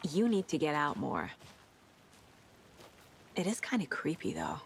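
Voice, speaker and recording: A woman acting an intuitive, composed FBI agent in a video game, speaking in an understated, deliberate way with clipped phrases. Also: sits low, very animated, gravelly, a little hiss or hum, heavily acted. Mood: matter-of-fact. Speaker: lead detective character.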